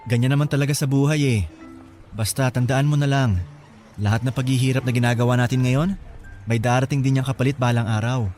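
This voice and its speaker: An actor playing a bashful, gentle young office worker in a radio drama, hesitant in manner. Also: male voice, mid-range voice, expressive, normal speed, pauses often, soft background music, natural voice. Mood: friendly, encouraging. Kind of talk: Tagalog radio drama acting.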